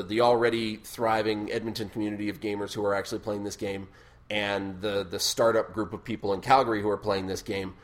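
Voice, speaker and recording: A man hosting a casual podcast chat, with a loud, booming voice, swearing freely and laughing often. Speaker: podcast host.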